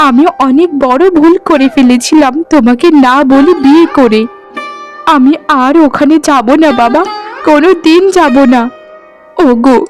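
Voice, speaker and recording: A woman's voice: high voice, lightly acted. A woman voicing an emotional village woman in a family cartoon, pleading in a melodramatic way. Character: emotional, remorseful village women of one family. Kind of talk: Bengali cartoon voice acting. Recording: music behind the voice.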